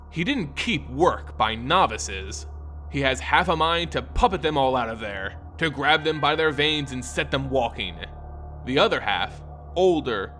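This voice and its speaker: Male lore host and storyteller, fairly high-pitched and very animated, theatrical scripted fantasy read over music. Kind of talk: dramatic scripted fantasy narration and commentary.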